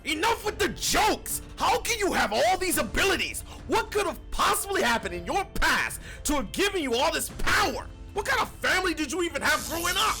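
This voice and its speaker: A man voicing a suspicious, hot-tempered young echidna guardian with confrontational, skeptical delivery in an animated fan dub. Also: very high-pitched, brisk, vocal fry, few pauses, soft background music, full character voice. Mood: accusing, angry.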